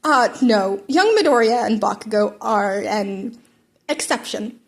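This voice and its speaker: A woman's voice: mid-range voice, expressive, unhurried. A woman reading a mild, oblivious adult male hero teacher in a story, polite and formal. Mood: calm, puzzled.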